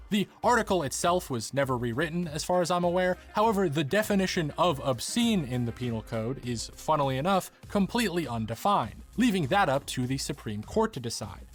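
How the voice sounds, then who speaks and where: A man reading scripted narration in a measured, formal way, like a documentary essay. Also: medium pitch. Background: background music.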